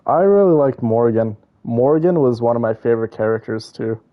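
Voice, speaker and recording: A man's voice: medium pitch, normal speed, narrow, tinny audio. A male game streamer chatting casually with viewers in a rambling, opinionated, slangy way. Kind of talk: casual chat with viewers while playing.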